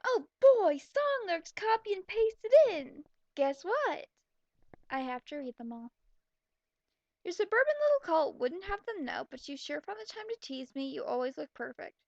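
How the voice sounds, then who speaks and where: A young woman with a high-pitched voice and plenty of fry reads a story aloud and picks it apart as she goes. Her manner is sarcastic, blunt and exasperated. The sound is close and dry. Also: very animated, normal speed, a little mellow, no room sound, lo-fi sound. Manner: exasperated, mocking, blunt asides. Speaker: commentator reading fan fiction aloud. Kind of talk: sarcastic reading with running commentary.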